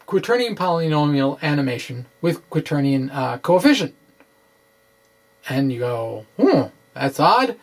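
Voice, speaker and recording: A middle-aged man giving a dramatic reading of a science explainer, with deliberate, halting, theatrical phrasing, close to the microphone in a small room. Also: a little high. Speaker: science blogger presenting. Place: small indoor room with bookshelves. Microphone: microphone close in front on a stand.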